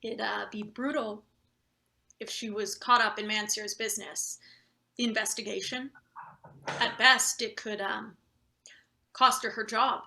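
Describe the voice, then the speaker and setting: Woman playing a creaky, manipulative female boss. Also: medium pitch, fairly even intonation, measured pace, long pauses, pushed and bright, rough recording. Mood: veiled menace. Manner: pointed, insinuating. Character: coldly manipulative female boss, impatient and threatening. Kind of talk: table read of a satirical stage play.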